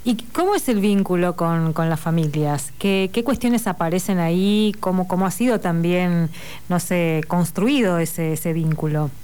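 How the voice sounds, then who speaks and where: Woman interviewer, mellow lowish voice, smooth, stumbling restarts. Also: measured pace. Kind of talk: interview conversation.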